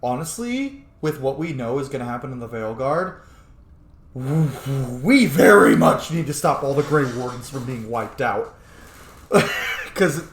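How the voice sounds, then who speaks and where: A man giving casual, profane and excitable commentary as he plays a game. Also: mid-range voice, echoey room, a little hiss or hum. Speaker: let's-play streamer.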